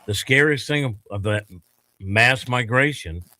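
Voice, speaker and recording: A man on a sports talk radio show who makes dry, measured remarks. His bright, forceful voice sits in a middle pitch, recorded dry and close on a studio mic. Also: dry recording. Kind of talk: casual banter.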